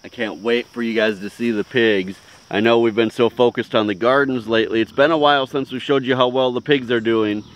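A man's voice: medium pitch, steady tone, brisk, hardly any pauses, rough recording. A man in his forties hosting a homestead vlog, speaking casually to camera outdoors on a farm.

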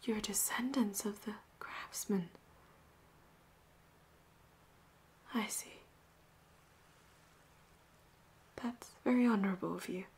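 A woman playing a flirty, possessive pirate captain in a roleplay audio drama, with a breathy voice that often drops into whispers and teasing endearments. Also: mid-range voice, normal speed, slight background noise, lightly acted. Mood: curious.

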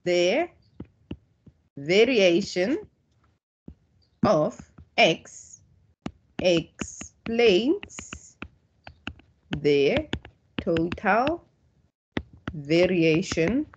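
A woman's voice: lowish voice, big pitch swings, muffled recording, slight background noise. A South African woman whose first language is Tswana, patiently explaining statistics in an online tutorial. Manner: slow, patient explaining with restarts. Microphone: video call.

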